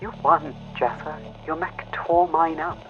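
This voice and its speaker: A man's voice: fairly high pitch, fairly even intonation, measured pace, voice pushed up. A man voicing a weary, frightened female mech pilot in an audio drama, strained and pleading over a radio. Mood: wary pleading. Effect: radio filter. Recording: muffled cockpit radio with music.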